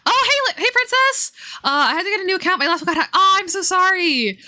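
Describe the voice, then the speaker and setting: A woman's voice: extremely high pitch, expressive, fast talker, sharp tone. A woman livestreamer giving casual, enthusiastic commentary on game lore, informal and prone to swearing.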